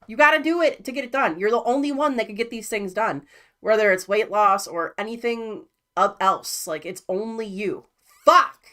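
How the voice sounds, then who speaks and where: A woman's voice: medium pitch, moderate pace, bright, forceful tone. A woman streamer giving blunt, profane, exasperated reaction commentary.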